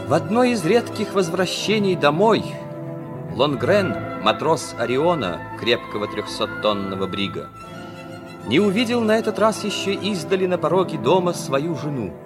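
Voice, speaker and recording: A man narrating a literary story for radio in an expressive storytelling style. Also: on the high side, moderate pace. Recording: music behind the narration.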